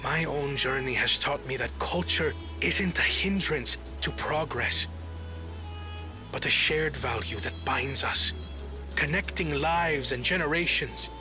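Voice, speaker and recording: A man playing a solemn, scholarly young prince in a video game cutscene, humbled and dutiful, with formal, measured oratory. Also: on the high side, over music, lightly acted. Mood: resolute.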